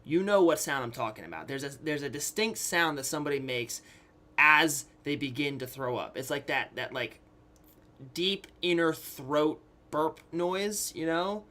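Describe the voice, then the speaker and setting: A young adult man telling a personal story casually to his viewers, acting out quotes, pausing for effect and often checking that listeners follow. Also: on the high side, animated, bright, forceful tone. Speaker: YouTube storyteller.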